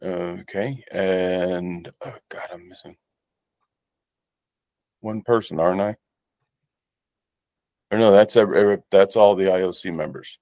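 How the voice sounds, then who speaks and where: A man with a low voice chairs a committee meeting. He speaks slowly and formally, working through procedure. The sound is close and dry, with no room around it. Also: steady tone, dark tone, no room sound, muffled recording. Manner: slow, formal procedural speech.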